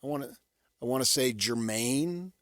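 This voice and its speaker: A middle-aged man co-hosting a sports radio show, telling stories casually in a chatty, animated way. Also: medium pitch, moderate pace, dry recording, studio quality.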